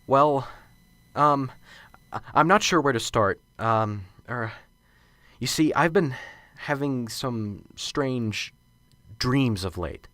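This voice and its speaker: A man's voice: medium pitch, animated, slow, a bit bright, no room sound. A man playing a nervous, unsure professor in a radio drama, stammering and hesitant. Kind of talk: amateur radio drama acting. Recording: radio drama with music underneath.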